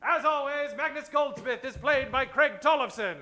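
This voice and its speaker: A man's voice: very high voice, moderate pace. A man announcing an old-time radio theater show from a stage in a grandiose, projected style. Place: stage before a live audience. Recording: roomy live stage with loud music, crowd. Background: loud music and audience noise.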